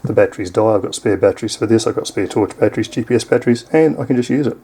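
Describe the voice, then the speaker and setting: A man's voice: fairly low pitch, very fast, mellow, husky. A man with an Australian accent giving a casual, unscripted product demonstration in an easygoing, explanatory way. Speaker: product reviewer.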